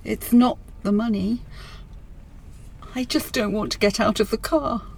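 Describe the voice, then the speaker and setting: A woman's voice: fairly high pitch, measured pace, creaky, a little mellow. An actress playing a withdrawn, despairing middle-aged career woman, terse and guarded, in a radio drama. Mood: numb, despairing.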